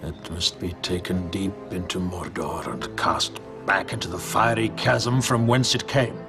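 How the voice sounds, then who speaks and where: A grave elf lord speaks with ceremony and command in epic fantasy film style. The voice sits fairly low and is measured and stern. Music is clearly heard behind it. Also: male voice.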